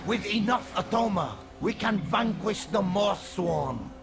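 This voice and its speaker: A man's voice: high-pitched, lively intonation, measured pace, creaky, heavily acted. A man playing an earnest fantasy quest giver in a video game. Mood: earnest resolve. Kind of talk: video game character acting. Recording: game music behind the voice.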